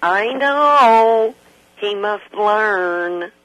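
Older female radio caller, hoarse but bright, unhurried, swinging widely in pitch, chatty and excitable. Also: no room sound, low-quality recording. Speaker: regular caller to a radio talk show. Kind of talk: casual, jokey chat.

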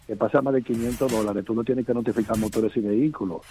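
Guy calling a radio show, warm mellow voice, animated story, faint music. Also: medium pitch, fast talker. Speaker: caller.

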